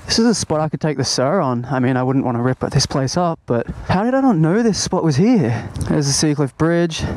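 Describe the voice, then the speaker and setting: A man's voice: a little high, lively intonation, fairly quick. A young man with an Australian accent chatting casually to camera as a motorcycle vlogger, laid-back and slangy. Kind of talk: casual running commentary to camera. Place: outdoors, riding a motorbike and on stops. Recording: loud wind, traffic and engine noise.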